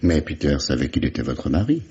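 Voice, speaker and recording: A man's voice: very deep voice, very animated, fairly quick, dark tone, slight background noise. An actor playing a wry, insistent investigator in a vintage radio mystery, sardonic and falsely fatherly as he questions a suspect. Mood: sardonic, pressing. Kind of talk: 1950s French radio mystery acting. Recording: dull lo-fi old radio recording.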